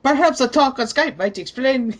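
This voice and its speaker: Man putting on a high, pompous commenter voice. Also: moderate pace. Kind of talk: dramatic reading of online comments.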